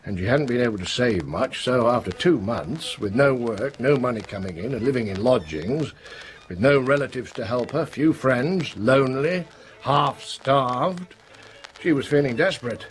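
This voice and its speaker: An actor playing a grave, probing police inspector in a radio drama, speaking bluntly and deliberately. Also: male voice, mid-range voice, lively intonation, slight background noise, close to an everyday voice. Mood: solemn, pointed. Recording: music under the voice.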